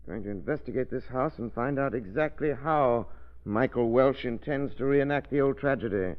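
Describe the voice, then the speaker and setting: An actor playing an inquisitive, urbane man investigating a mystery in a 1930s radio drama, deliberate and intent as he explains. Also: mid-range voice, natural voice. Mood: urgent, suspicious. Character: inquisitive, urbane amateur sleuth piecing together a sinister plot. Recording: muffled 1930s radio recording.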